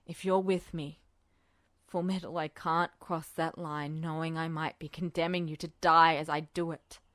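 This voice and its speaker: A woman voicing a grave, remorseful male military officer in an audiobook story, hushed, earnest and pleading. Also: deep voice, level tone, moderate pace, no room sound, voice pushed down. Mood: sorrowful, apologetic.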